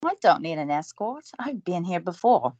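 A woman's voice: on the low side, sing-song, moderate pace, darkish tone, dry recording. A woman plays a dry-witted, independent young woman who will not be fussed over. Her voice rises and falls a great deal. The recording is dry and somewhat muffled. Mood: wry. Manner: dry and wry.

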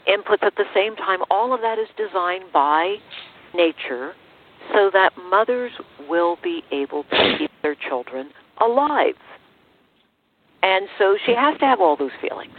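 A woman hosting an interview show in a conversational style, with emphatic, deliberate phrasing, over a narrow radio-like connection. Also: mid-range voice. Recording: narrow radio-like sound, faint noise.

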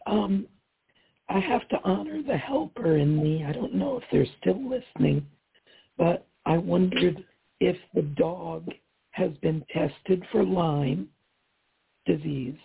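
A hoarse woman caller speaks slowly and hesitantly, her voice raspy and gravelly. She is heard over a thin, tinny phone line. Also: fairly low pitch, animated. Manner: slow, hesitant, many ums.

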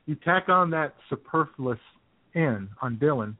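A man's voice: a little high, expressive, a little slow, no echo. A man co-hosting an internet radio show, bantering casually and sarcastically about the news over a thin, phone-like sound. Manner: sarcastic, joking.

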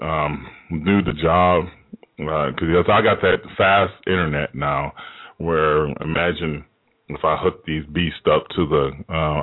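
Deep-voiced man, rambling, on tinny audio. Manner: rambling, many fillers and restarts. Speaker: host. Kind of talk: casual radio show talk.

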